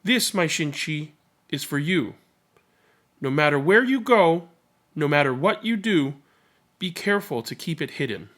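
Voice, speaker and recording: A man voicing a young, protective big sister in a storybook, earnest and gently instructing. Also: on the high side, animated, a little slow, pauses often. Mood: serious, cautioning.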